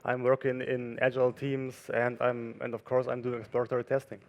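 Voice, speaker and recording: Man at a conference, halting delivery, many restarts, stage mic. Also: medium pitch, completely flat delivery, highs cut off. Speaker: conference speaker. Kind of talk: conference talk. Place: conference room with audience.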